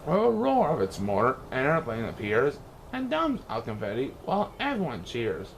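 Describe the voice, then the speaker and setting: A man reading a rhyming children's storybook aloud in a theatrical, expressive way. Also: mid-range voice, moderate pace, creaky, slight background noise, poor audio. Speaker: storybook reader.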